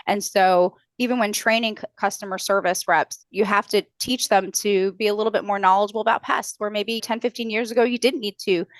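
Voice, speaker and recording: A woman's voice: medium pitch, steady tone, brisk, hardly any pauses, no room sound. Female customer service trainer, conversational and instructive, mid-range voice, very dry recording.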